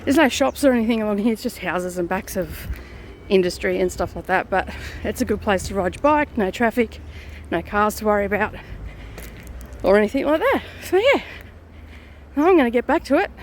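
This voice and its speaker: A middle-aged Australian woman vlogging into her phone camera, chatting in a rambling way with a croaky morning voice. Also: mid-range voice, animated, brisk, a little hiss or hum. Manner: rambling, chatty, lots of fillers and asides. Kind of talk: casual chat to camera.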